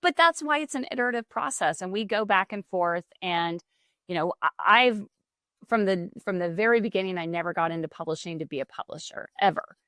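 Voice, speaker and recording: A woman who runs a publishing company, talking as a podcast guest in a quick, animated way with frequent restarts. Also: medium pitch, dry recording, studio quality. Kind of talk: casual podcast conversation.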